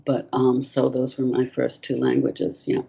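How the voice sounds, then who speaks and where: An older woman whose first language is French, answering interview questions softly and hesitantly over a video call. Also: very deep voice, animated, a little slow, gravelly, husky, dull audio. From France. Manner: soft-spoken, hesitant, many ums. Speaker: author, guest.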